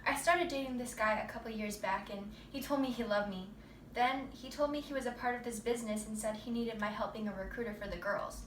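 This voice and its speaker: A woman's voice: on the high side, a little fast, close to an everyday voice. A woman playing a conflicted, mercenary young trafficking recruiter in a rehearsed stage monologue. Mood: defensive, conflicted. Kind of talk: rehearsed readers theater monologue. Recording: roomy distant mic with background noise.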